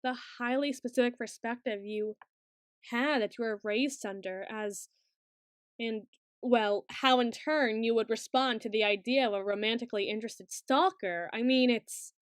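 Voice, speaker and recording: High-voiced, bookish, awkward young woman, acted by a woman. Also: moderate pace, smooth, no echo, clean studio sound, close to an everyday voice. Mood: hesitant, concerned. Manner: stilted, over-formal intellectual phrasing, hesitant. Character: awkward, bookish young woman student, secretly obsessive, protective. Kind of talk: ASMR roleplay acting.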